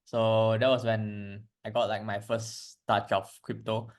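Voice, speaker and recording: Young man with a lowish voice, halting. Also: little pitch movement. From Malaysia. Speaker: podcast guest, crypto trader. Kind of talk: casual chat.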